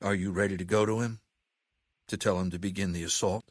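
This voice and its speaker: A male actor playing an imperious middle-aged dictator of a slaver legion in a video game, speaking in a commanding, condescending, deliberate way with menace. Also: low voice, fairly even intonation, dry recording, studio quality. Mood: stern, commanding. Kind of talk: video game character dialogue.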